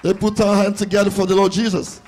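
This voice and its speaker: High-voiced man interpreting a sermon. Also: level tone, a little mellow. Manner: echoes the preacher in short phrases, halting searches for words. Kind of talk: live consecutive interpreting of a sermon. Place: church service.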